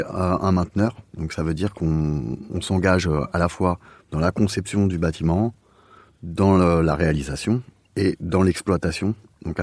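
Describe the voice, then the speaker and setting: A man's voice: low voice, mellow, dry recording. A man answering interview questions, explaining conversationally and hesitantly, piecing his phrases together. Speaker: architect, interview guest.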